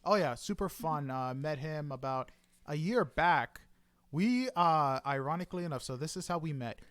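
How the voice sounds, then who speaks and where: A man's voice: a little high, animated, normal speed, fairly bright tone, no echo, studio quality. A man hosting a casual interview, agreeable and a little hesitant in how he talks. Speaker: interview host.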